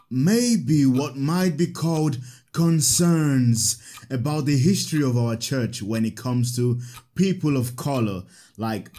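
An actor playing a gracious, gentle African priest in an audio drama, with formal, courteous phrasing. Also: male voice, mid-range voice.

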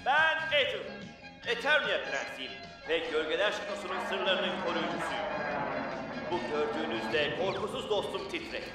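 An actor voicing a bold, proud cartoon warrior prince, declaiming grandly in a dubbed cartoon. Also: male voice, high-pitched, lively intonation, moderate pace. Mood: proud, heroic. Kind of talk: dubbed cartoon opening narration. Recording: echoey, distant TV cartoon soundtrack with music.

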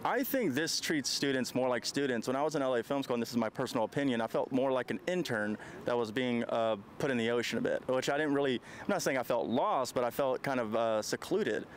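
A man's voice: mid-range voice, fairly quick, few pauses. A twenty-nine-year-old male film student giving chatty, thoughtful answers outdoors into a handheld mic. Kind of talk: casual answers. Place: outdoors on campus.

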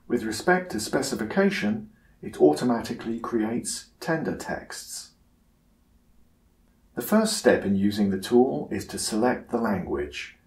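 A man's voice: medium pitch, darkish tone. Roomy-sounding male tutorial narrator. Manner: measured, instructional. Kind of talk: scripted narration, software tutorial.

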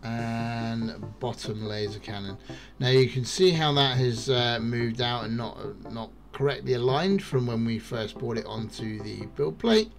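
A laid-back man narrating a game tutorial at an unhurried pace. He keeps it casual and excited, with plenty of "um"s and "uh"s, and his voice sits at an ordinary middle pitch. Soft music plays quietly behind him. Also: gravelly. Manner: relaxed, enthusiastic, many fillers. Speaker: gaming YouTuber. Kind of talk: game build tutorial voice-over.

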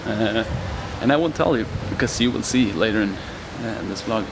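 A man's voice: lowish voice, expressive, moderate pace, background noise. A man in his twenties chatting casually to a camera as he vlogs outdoors. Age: 20s. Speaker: vlogger. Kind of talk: casual vlog chat to camera. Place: mostly outdoors on streets and by eateries. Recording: music laid over the voice. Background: loud music, vehicles.

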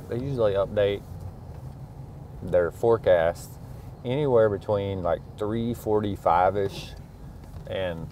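Deep-voiced middle-aged guy talking in his truck, laid back, lots of ums, faint engine hum. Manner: relaxed, thinking aloud with many fillers. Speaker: school superintendent. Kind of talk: casual narration while driving. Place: inside a truck at night. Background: faint car engine and road noise.

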